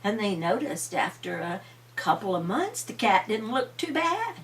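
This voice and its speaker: Older woman storyteller, lively swooping pitch. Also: measured pace, far from the mic, a little hiss or hum. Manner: homely, dramatic, repeats refrains with emphasis. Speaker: grandmother telling bedtime stories. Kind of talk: animated storytelling for children.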